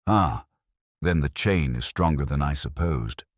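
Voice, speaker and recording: Male reader as keen master detective: very deep, crisp, imperious, precise, dry studio sound. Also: expressive, measured pace, no echo, exaggerated voice. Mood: grave, keen. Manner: formal, precise, emphatic, lecturing. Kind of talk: audiobook detective story dialogue.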